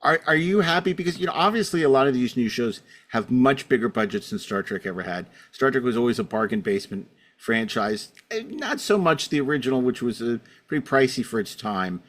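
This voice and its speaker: A man with an average-pitched voice hosts a relaxed panel show. He is wordy and opinionated, framing points for the group and slipping in dry asides. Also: fairly quick, few pauses. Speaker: podcast host. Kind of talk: casual panel moderation and commentary.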